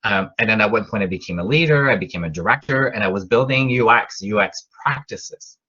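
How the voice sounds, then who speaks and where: A man's voice: lowish voice, brisk, pushed and bright, husky, highs cut off. A middle-aged man from Quebec with a French-Canadian accent, French his first language, giving a college class online with many fillers and restarts. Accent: Québécois French. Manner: rambling, restarts and fillers, rising emphasis on key words. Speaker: college professor.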